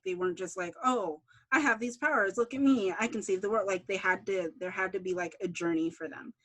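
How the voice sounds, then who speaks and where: A woman's voice: mid-range voice, fairly bright tone, rough recording. Casual young woman thinking aloud. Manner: informal, thinking aloud in loose fragments. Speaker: interviewee, writer and show creator. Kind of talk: casual unscripted interview answers.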